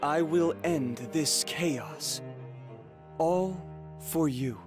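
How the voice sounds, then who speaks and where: A man's voice: on the high side, very slow. A man voicing a noble, cultured, proud young warrior-strategist in a video game, with formal and earnest delivery. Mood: warm, earnest. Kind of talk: theatrical video game dialogue. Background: music.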